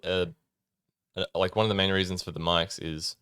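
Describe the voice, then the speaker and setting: A young man chats loosely on a podcast, filling pauses with ums and easy laughter. His voice sits very low and comes through smooth and resonant. The sound is dry and close, with no room around it. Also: no echo. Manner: relaxed, rambling, many ums and laughs. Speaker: podcast co-host. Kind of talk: casual chat. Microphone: studio mic.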